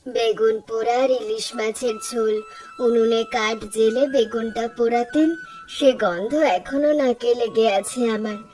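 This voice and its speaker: A woman's voice: fairly high pitch, steady tone, moderate pace, a little mellow, recorded from a distance, soft background music, lightly acted, rough recording. A woman playing a caring, nostalgic middle-aged village mother in a Bengali cartoon story, with a wistful, homely way of talking.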